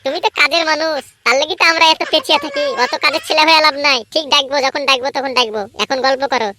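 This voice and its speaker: A high, piercing cartoon voice of a cheeky young lad, put on by a woman. He rattles along quickly, brash and boastful, always ready to stir up trouble. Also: bright, forceful tone, slight background noise. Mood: gossipy. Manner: brash, cocky. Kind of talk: cartoon voice acting.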